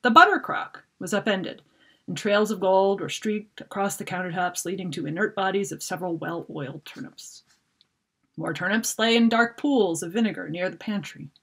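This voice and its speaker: A middle-aged woman author reading a children's fantasy story aloud in an expressive, dramatic way. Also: medium pitch, normal speed, breathy edge. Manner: animated, dramatic reader. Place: book-lined room at home.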